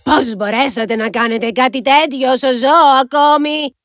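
A woman's voice: high voice, fairly even intonation, soft background music, close to an everyday voice. Woman playing a poor, humble cartoon mother. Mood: scolding. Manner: stern with her daughters, humble and polite before royalty. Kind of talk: Greek cartoon dub acting.

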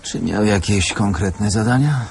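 Actor as creaky, businesslike male police inspector. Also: on the low side, a little slow, a little hiss or hum. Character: male police commissioner, direct, businesslike interrogator. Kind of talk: radio drama acting.

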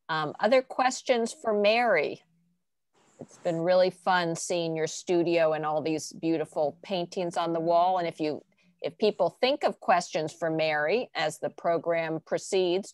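A woman's voice: lowish voice, no room sound. Woman hosting an art reception online. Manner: friendly, organized, moderating. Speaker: host.

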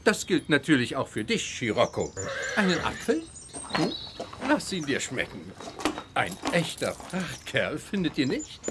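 An actor playing a jovial, self-assured middle-aged count in a children's audio drama, with a genteel manner. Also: male voice, fairly high pitch, big pitch swings, a little slow, background noise. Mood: genial, self-assured. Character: jovial, confident aristocratic count, father of a teenager.

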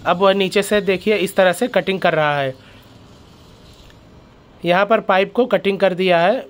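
Host, male, high and smooth voice, explaining a factory tour step by step. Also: unhurried. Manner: explains step by step, keeps addressing viewers as friends. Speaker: channel host. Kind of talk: factory tour vlog narration.

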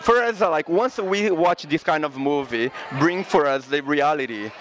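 A man's voice: a little high, moderate pace, background noise. A man in his thirties interviewing guests at a cinema event with a handheld mic, asking eager, rambling questions. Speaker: red carpet interviewer. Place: indoor cinema event, in front of a backdrop.

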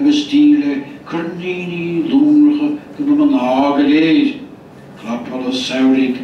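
An older man, a poet and translator, reciting poetry slowly and deliberately on a festival stage into a handheld mic. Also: fairly high pitch, darkish tone. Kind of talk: poetry reading. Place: festival stage, indoor hall. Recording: echoey hall from far off, dull and noisy.

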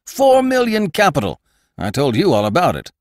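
A man's voice: on the high side, wildly swooping pitch, moderate pace, no echo, studio quality, pitched above the performer's own voice. A man doing a blustering salesman major. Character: bluff male major, pushy stock promoter.

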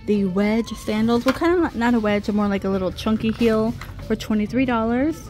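A woman's voice: fairly high pitch, normal speed, a little mellow, faint music behind. A middle-aged woman vlogging a casual shopping trip, chatting and reading out prices as she browses a busy store. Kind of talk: casual shop-with-me narration. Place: busy discount clothing store.

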